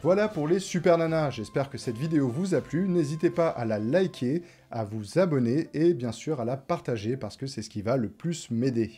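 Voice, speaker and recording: Male video essayist, soft-edged mellow tone, informal direct address, light music underneath. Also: mid-range voice, lively intonation, moderate pace, few pauses, breathy edge. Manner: informal, rhetorical questions, direct address to viewers. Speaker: host of a cartoon video essay channel. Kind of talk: conversational scripted video essay narration. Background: background music.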